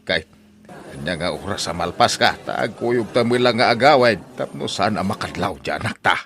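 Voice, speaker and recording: A man's voice: on the low side, expressive, moderate pace, a bit bright, slight background noise. A man playing a mischievous, vain old father who likes to tease, in an Ilocano comedy audio drama. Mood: teasing. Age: older.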